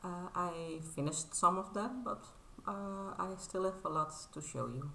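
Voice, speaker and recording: A Dutch woman in her thirties chats in English with a low, gravelly voice that still sounds bright and a little tense. She hesitates a lot, filling the pauses with filler sounds and restarting her sentences. The room is echoey, with a faint hum of ambience behind her. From Netherlands. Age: 30s. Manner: modest and apologetic. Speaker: crafting vlogger. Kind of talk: casual show-and-tell vlog.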